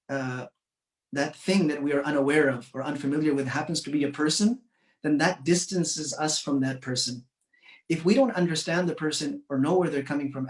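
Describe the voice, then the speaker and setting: A man from Canada giving an earnest, measured commemorative speech, pausing often with fillers. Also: on the high side, echoey room, recorded from a distance. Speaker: community speaker.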